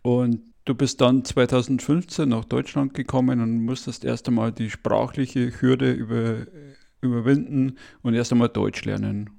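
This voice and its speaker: A man's voice: mid-range voice, steady tone, moderate pace, gravelly, mellow. A man hosting a podcast interview, asking questions hesitantly with many fillers. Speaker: podcast host.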